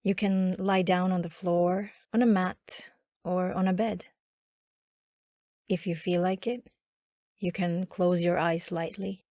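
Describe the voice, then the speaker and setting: A woman guiding a body scan meditation in a calm, instructional manner with long pauses. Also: medium pitch, no echo, clean studio sound. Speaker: meditation guide.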